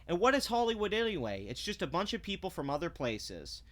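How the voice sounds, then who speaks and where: A man's voice: high-pitched, very animated, a little fast, a bit bright. A man delivering a sarcastic, blunt commentary rant, swearing freely and stumbling over restarts when he gets heated. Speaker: commentary vlogger. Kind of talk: ranting commentary.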